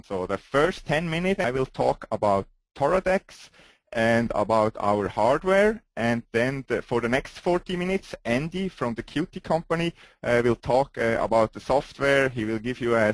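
Swiss guy presenting a webinar, lots of uh's, dry close mic, mid pitch. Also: no room sound. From Switzerland. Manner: hesitant, frequent "uh" fillers, non-native phrasing.

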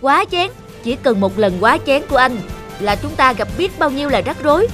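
A woman voicing a bossy, stout middle-aged male manager who gives orders and scolds briskly. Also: sits high, animated, fairly bright tone, faint music behind. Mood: scolding.

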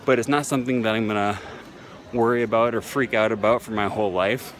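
A man's voice: fairly low pitch, quick pace. Chatty man vlogging on a city street. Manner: relaxed and chatty, with many ums and uhs. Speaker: language-learning vlogger. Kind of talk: casual selfie vlog monologue. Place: busy city streets and square outdoors. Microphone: phone camera held at arm's length. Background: faint street and traffic noise.

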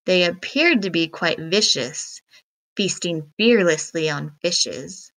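A woman reading a children's picture book aloud in a deliberate, expressive storytelling manner. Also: lowish voice. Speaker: read-aloud narrator.

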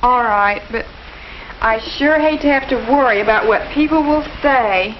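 A woman's voice: a little high, moderate pace, natural voice. A young woman playing a loyal, earnest teenage girl in a 1950s school film, speaking in a reassuring way. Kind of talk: 1950s educational film acting. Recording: muffled old film soundtrack with hiss.

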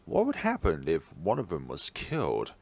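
Low-voiced man narrating a visual novel. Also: wildly swooping pitch, normal speed, gravelly, a little mellow, husky. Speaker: let's player reading a visual novel aloud. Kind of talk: narration read aloud for a game playthrough.

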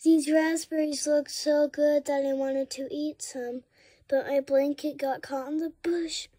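A woman's voice: high voice, fairly even intonation, unhurried, mellow. A woman voicing a small, earnest, sweet puppy in a storybook read-aloud. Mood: sheepish. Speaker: guest reader.

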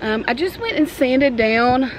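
A woman's voice: sits high, lively intonation, unhurried. A woman in her thirties chatting casually and informally to the camera as a home makeover vlogger, with a self-deprecating manner. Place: outdoors on a porch and wooden ramp. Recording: clear background noise, outdoor ambience.

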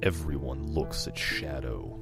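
A man's voice: extremely low pitch, very animated, a little slow, gravelly, loud music behind the voice. A man narrating an amateur dramatic reading of a screenplay.

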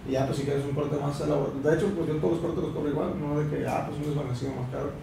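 Gravelly young Sinaloan man, echoey room. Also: fairly high pitch, flat delivery, rapid-fire. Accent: Sinaloa Mexican. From Mexico. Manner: explains at length with many fillers. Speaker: barber guest. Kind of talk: casual podcast chat. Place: small room with a mirror, indoors. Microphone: far camera. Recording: echoey room, mic far off, background hiss.

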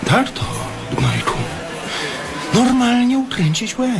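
A man's voice: extremely high pitch, huge pitch swings, loud music behind the voice, full character voice. A man acting as a menacing, mocking loan shark in a radio drama, sneering and drawing out his taunting questions. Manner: sneering, drawn-out, taunting questions with bursts of raised voice.

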